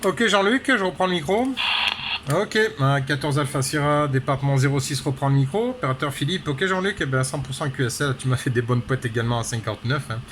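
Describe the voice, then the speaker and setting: A man's voice: mid-range voice, fairly quick. Rambling male radio operator over background noise. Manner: rambling, full of repeated tag words. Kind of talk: casual radio contact chat.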